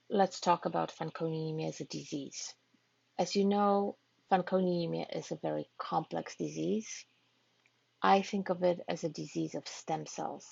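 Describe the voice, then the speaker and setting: Low gravelly woman from Poland, measured. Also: darkish tone, no room sound. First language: Polish. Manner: measured, earnest, occasional hesitations. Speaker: physician-scientist giving a research talk. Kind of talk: prepared presentation to patient families. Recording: dull lo-fi online talk audio.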